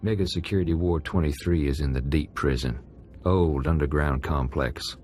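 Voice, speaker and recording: A man playing a tough, laconic, suspicious prison gang convict in a game, gruff, clipped and wry. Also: rumbling low, moderate pace, a little mellow. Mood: wary, blunt. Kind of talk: game cutscene voice acting.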